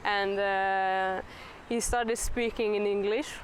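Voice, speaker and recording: A woman's voice: medium pitch, steady tone, slow, a little hiss or hum. A young woman, a psychology student, telling a story hesitantly with many fillers. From Hungary. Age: young adult.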